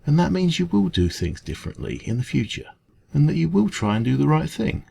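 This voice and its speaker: A man with an English accent playing a kindly, gentle café dragon in an ASMR comfort roleplay, speaking in a hesitant, informal, reassuring way. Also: on the high side, big pitch swings, fairly quick, vocal fry, a little hiss or hum, heavily acted. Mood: earnest reassurance.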